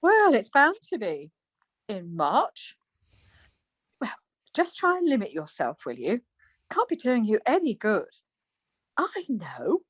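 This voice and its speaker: An actress playing a dry, sarcastic, teasing middle-aged wife in an amateur radio play. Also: female voice, fairly high pitch, wildly swooping pitch, takes it slowly, pauses often, husky, dry recording, exaggerated voice.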